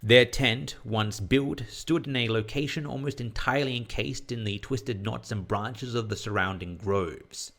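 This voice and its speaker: A man's voice: medium pitch, measured pace, vocal fry, few pauses, fairly bright tone. A man reading a scripted horror story as its narrator.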